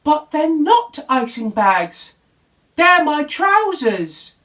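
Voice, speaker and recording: Man putting on a high, plaintive little bear. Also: sing-song, drawn-out words, fairly bright tone, full character voice. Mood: tearful. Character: small young male bear, plaintive and earnest. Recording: voice distant from the mic.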